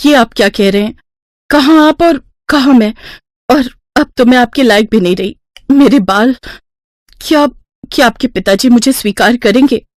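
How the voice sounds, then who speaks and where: A woman's voice: fairly high pitch, normal speed, no echo, clean studio sound, voice pushed up, natural voice. A woman voicing a wronged young stepdaughter in an audio folk tale, plaintive and emotional. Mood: timid, doubtful. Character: meek, wronged young stepdaughter who finds courage.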